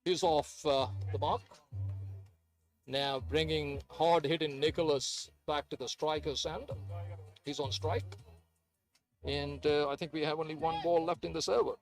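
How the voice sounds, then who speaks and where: Chatty Sri Lankan-raised cricket commentator. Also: male voice, on the high side, fairly even intonation, a little slow, pauses often, faint music behind. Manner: hesitant, many fillers, chatty play-by-play.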